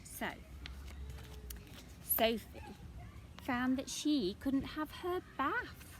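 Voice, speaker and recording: A woman's voice: high-pitched, animated, very slow, slight background noise. A woman reading a picture book aloud expressively for young children, breaking off for chatty questions to her listeners.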